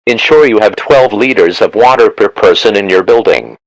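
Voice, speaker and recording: A man's voice: fairly low pitch, moderate pace, no echo, poor audio. A synthetic male voice reading a scripted emergency broadcast announcement in a formal, automated manner. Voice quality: synthetic text-to-speech voice. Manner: flat, formal, automated delivery.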